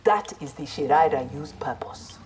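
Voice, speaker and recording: A woman with a Nigerian accent playing a proud, principled armoured ninja in a video game, speaking in measured, formal, defiant lines. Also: deep voice, animated, darkish tone, slight background noise. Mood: confident, firm. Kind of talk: video game fight dialogue.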